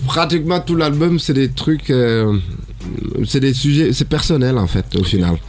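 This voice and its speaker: A young man from Senegal, a musician guest in a radio studio, talking casually and haltingly with many fillers. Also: medium pitch, darkish tone.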